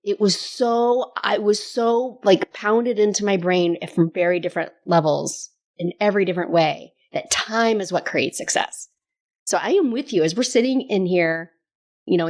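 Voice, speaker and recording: A woman in her late forties talking casually as a coaching podcast host, telling stories in an animated, emphatic way and repeating phrases for stress. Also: on the low side. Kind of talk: casual conversational storytelling.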